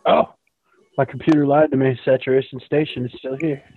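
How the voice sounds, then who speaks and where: A man's voice: medium pitch, flat delivery, a little fast. Man joking over tinny audio. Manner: joking, profane banter. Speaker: livestream host. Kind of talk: casual group chat on a livestream.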